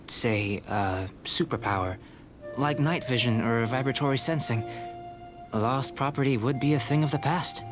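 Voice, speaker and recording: A man voicing a calm, courteous alchemist researcher in a video game, speaking in a measured, formal, articulate way. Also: mid-range voice, close to an everyday voice. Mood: composed, dry.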